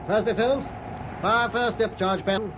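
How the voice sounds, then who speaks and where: An actor playing a brisk British destroyer captain in a radio drama, clipped, composed and commanding. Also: male voice, sits high, fairly even intonation. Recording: dull lo-fi radio recording, loud ship noise.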